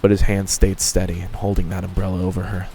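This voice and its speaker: A man's voice: low-pitched, completely flat delivery, fast, warm tone, slight background noise, poor audio. A man reading scripted fan fiction narration as the storyteller.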